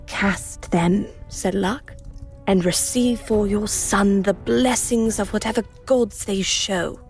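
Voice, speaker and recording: A storyteller of heroic legend in a fantasy audio drama. Her medium-pitched voice moves slowly and lyrically, measured and reverent, with music underneath. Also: a little mellow. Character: woman storyteller reciting a hero's legend, reverent.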